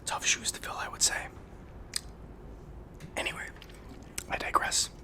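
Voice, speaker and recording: A man playing a friendly, awkward, down-on-his-luck barber on the run, rambling haltingly in an ASMR roleplay with a breathy whisper. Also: lightly acted. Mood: contented, chatty. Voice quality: breathy whisper with some fry.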